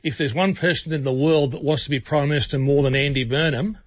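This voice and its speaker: Husky radio host man. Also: on the high side, quick pace, no echo, lo-fi sound. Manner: conversational, frequent restarts and fillers. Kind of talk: talk radio discussion.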